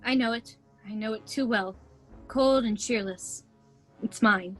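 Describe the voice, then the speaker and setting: A woman's voice: on the high side, measured pace, a bit bright, low-quality recording. A woman playing a frightened miser in a radio play, pleading dramatically and emphatically. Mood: apprehensive. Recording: home video call recording with music.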